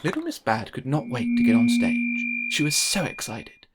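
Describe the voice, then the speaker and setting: A man narrating a children's storybook aloud in an expressive read-aloud style. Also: mid-range voice, normal speed, loud music behind the voice, over a noisy crowd. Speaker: storybook reader.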